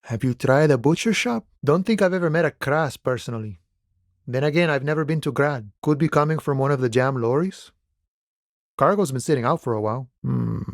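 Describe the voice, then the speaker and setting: A male actor plays a laid-back dock worker who fancies himself a philosopher of the open range. He is a video game character with a middle-pitched male voice. His delivery is folksy and unhurried, addressing listeners like old pals, and the sound is very dry. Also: no echo, close to an everyday voice. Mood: nonchalant, friendly. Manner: unhurried, folksy musing, calls people friend and boya.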